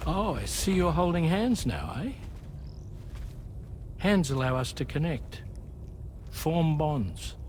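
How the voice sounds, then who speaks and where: An actor playing a weathered, philosophical ship captain in a video game, speaking in an unhurried, wistful way. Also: male voice, on the high side, very animated, a little mellow, a little hiss or hum. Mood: calm, wry. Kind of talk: scripted video game cutscene dialogue.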